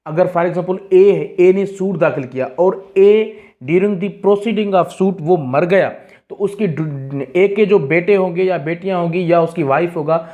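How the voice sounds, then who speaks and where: A man's voice: high-pitched, darkish tone, muffled recording. A man with a Pakistani accent giving an explanatory lesson as a tutor, reading out definitions and then explaining them didactically with repeated key phrases. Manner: mixes Urdu-Hindi with English, didactic, repeats key phrases. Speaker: law exam tutor.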